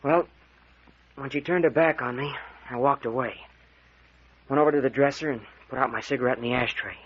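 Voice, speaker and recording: A husky young man under accusation, mid-pitched and rattled. He protests defensively and hesitates in vintage radio drama style, on a muffled recording. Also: fairly quick, a bit bright. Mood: sullen, uneasy. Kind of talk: 1940s radio mystery acting.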